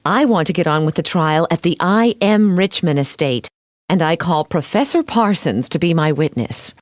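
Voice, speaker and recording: A woman's voice: lowish voice, animated, moderate pace, no room sound, clean studio sound, lightly acted. A woman voicing a poised, sincere female lawyer in a cartoon courtroom. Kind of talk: animated educational courtroom dialogue.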